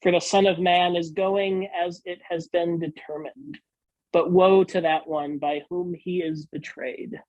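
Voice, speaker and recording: A pastor reads scripture in a solemn, dramatic style, with earnest and deliberate delivery. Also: medium pitch, flat delivery, a little mellow, no room sound, dull audio.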